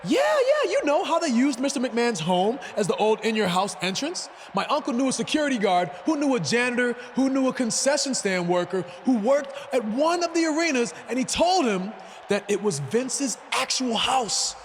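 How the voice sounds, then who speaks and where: A man playing an excitable, chatty, goofy pro wrestler who rambles eagerly in a video game cutscene. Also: very high voice, normal speed, a little hiss or hum.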